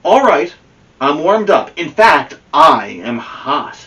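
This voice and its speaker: A man's voice: a little high, big pitch swings, slow pace, fairly bright tone, recorded from a distance, full character voice, low-quality recording. A man voicing a cheerful, literal-minded, eager woman from a picture book in a chipper, matter-of-fact way. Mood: chipper, busy.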